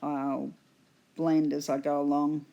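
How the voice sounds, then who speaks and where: Slow, husky woman with a cold. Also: sits low, level tone, mellow, muffled recording. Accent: Australian. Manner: slow, patient explaining with fillers. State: hoarse from a cold. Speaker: art instructor. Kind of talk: painting tutorial voice-over.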